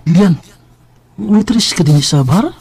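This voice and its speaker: High, animated buddy. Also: male voice, slow pace, vocal fry, full character voice. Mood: startled. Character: chummy, excitable male best friend and drinking buddy. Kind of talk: radio drama acting.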